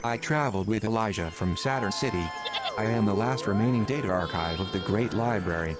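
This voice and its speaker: A man playing a literal, unflappable station computer in a sci-fi audio drama, formal, clipped and deadpan. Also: on the low side, a little fast, loud music behind the voice, lightly acted. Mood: deadpan, factual. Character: literal, unflappable male station computer, ancient library archive.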